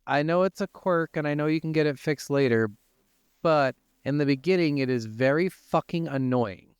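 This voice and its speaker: A man's voice: a little high, moderate pace, clean tone, dry recording, clean studio sound. A man hosting a gaming podcast, chatting casually and informally with some swearing. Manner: informal, profane. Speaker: podcast host.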